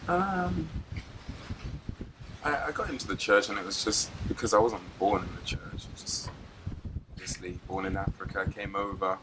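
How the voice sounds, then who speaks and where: A young man from Zimbabwe with a fairly low voice recounts his path into church life. He talks in a relaxed, reflective way and laughs easily. Faint noise runs behind him. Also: normal speed, pauses often. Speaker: guest preacher. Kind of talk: telling his life story in an interview.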